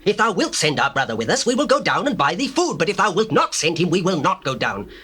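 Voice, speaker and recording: An earnest, commanding man of biblical times. His high voice is bright and forceful, swinging widely as he declaims formal scripture drama. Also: wildly swooping pitch, quick pace, exaggerated voice. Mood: urgent pleading. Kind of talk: dramatized scripture, theatrical acting.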